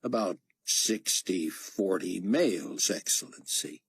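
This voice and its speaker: A man's voice: deep voice, slow pace, slightly rough, dry recording, heavily acted. A man playing a young, cowed security chief in an audiobook, plain-spoken and deferential. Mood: dutiful. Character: tall young cowboy-like security chief, eager, cowed underling.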